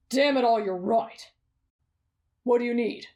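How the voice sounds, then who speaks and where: A woman voicing a brash, bossy young male warrior in an anime fan roleplay, blunt and gruff in manner. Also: fairly high pitch, darkish tone. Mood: grumpy, blunt.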